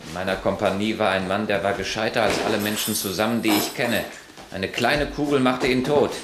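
An actor playing an embittered, hot-tempered young soldier on leave in a radio play, speaking gruffly and broodingly. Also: male voice, on the low side, brisk, noisy background, natural voice. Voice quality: gravelly.

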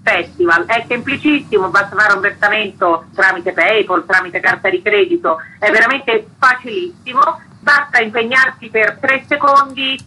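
A woman's voice: medium pitch, normal speed, few pauses, bright, forceful tone, breathy edge, poor audio. A woman who directs a small theatre festival, giving an earnest, rambling appeal for support in a radio interview over the phone. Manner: earnest, persuasive, rambling pleas.

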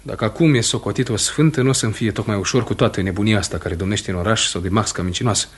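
An actor playing a stern, proud farmer husband who is quick to anger, in a brusque, emphatic Romanian radio theatre style. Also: male voice, on the low side, breathy edge. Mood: troubled.

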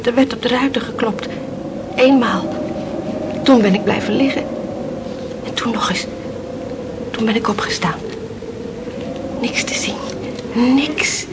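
High, slow, frightened young woman played by an actress. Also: lightly acted. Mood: haunted dread. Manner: haunted, halting, hushed storytelling. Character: frightened young woman pining for her sailor sweetheart. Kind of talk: dramatic radio play acting. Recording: noisy old radio play recording.

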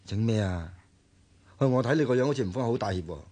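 A man acting in a radio drama as an exasperated young renovation worker with a soft heart, blunt and nagging in colloquial Hong Kong Cantonese. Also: medium pitch, expressive, fast, lightly acted. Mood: flustered.

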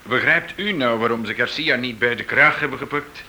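A man's voice: mid-range voice, normal speed, sharp tone. An actor playing a forward, cocky man in a radio drama, theatrical and insinuating in manner. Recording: noisy old radio play recording.

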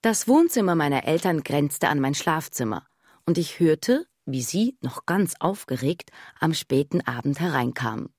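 A woman looking back on a childhood mystery, narrating a radio play. Her voice sits fairly low and moves in lively, expressive curves. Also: a little slow, husky, no room sound, heavily acted. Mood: reflective.

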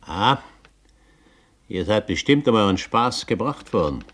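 Very deep, composed older pirate captain. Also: male voice, expressive, a little slow, a bit bright. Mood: composed, approving. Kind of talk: German radio play acting.

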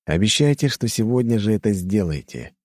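A man's voice: on the low side, big pitch swings, fairly quick, darkish tone, no echo, studio quality. A man playing a brash, commanding hunter in an audiobook, with a curt, insistent manner. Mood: firm, demanding. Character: brash, bossy young male hunter, commanding and exasperated. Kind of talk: audiobook character dialogue.

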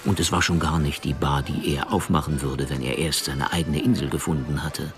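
A man's voice: very deep voice, fairly even intonation, brisk, over music. A man narrating a radio crime story from a script as a detached storyteller.

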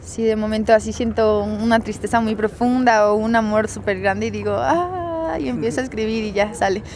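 Woman, young adult, Mexican accent, casual blunt chat, slightly high pitch, faint background hiss. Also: moderate pace, a little mellow. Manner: laughs often, chatty and blunt. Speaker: musician guest. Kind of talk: casual radio interview.

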